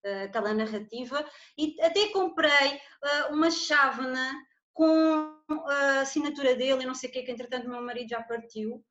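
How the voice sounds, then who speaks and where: A woman's voice: high-pitched, normal speed, a bit bright. A woman with a European Portuguese accent, chatting casually about books as a podcast co-host. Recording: roomy, dull muffled sound.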